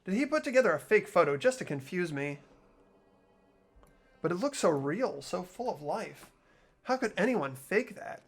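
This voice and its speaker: A fan dubber voicing an anxious teenage boy student, narrating his worried inner thoughts in a game. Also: medium pitch. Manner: earnest inner monologue, worried questioning. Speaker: host and lead voice actor.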